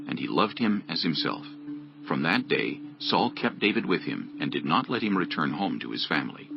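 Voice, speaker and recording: Male Bible narrator, gravelly and dramatic, muffled recording with faint music underneath. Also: deep voice. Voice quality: gravelly, husky. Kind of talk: scripted dramatic scripture narration.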